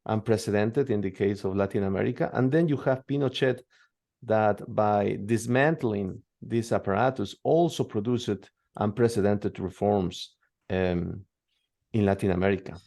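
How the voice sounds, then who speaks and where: A man from Peru with a tongue, answering interview questions in a hesitant way, full of pauses and restarts. His voice sits low and has a gravelly edge. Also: mellow. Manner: hesitant, many fillers and restarts. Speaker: guest expert.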